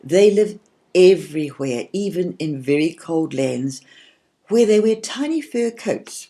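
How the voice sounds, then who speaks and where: A woman voicing an eager, hesitant little girl who stammers as she tells fairy tales in a children's storybook. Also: on the low side. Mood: excited. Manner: halting, stammering, excitable.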